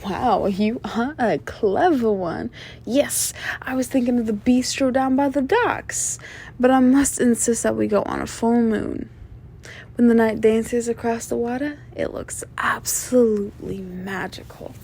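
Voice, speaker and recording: A woman's voice: a little high, gravelly, darkish tone, husky, full character voice. A woman playing a flirtatious, sly mafia boss in an ASMR roleplay, coy and self-assured, with teasing hums and murmurs. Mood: coy, musing. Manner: coy, teasing, drawn-out hums and knowing laughs.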